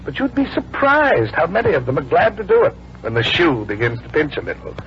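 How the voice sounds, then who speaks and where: A man's voice: on the high side, very animated, fairly quick. A man playing the Devil as a sly, bargaining tempter with mocking, coaxing politeness, in 1940s radio drama style. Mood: mocking, persuasive. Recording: muffled, noisy old radio broadcast.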